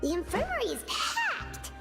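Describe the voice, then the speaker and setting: Childlike game fairy, extremely high voice, lively intonation, bubbly, excitable, music behind. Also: female voice, moderate pace, creaky, exaggerated voice. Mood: curious, chatty. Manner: bubbly, exclamatory, casual. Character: tiny excitable female fairy-like companion, chirpy and childlike. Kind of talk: video game dub acting.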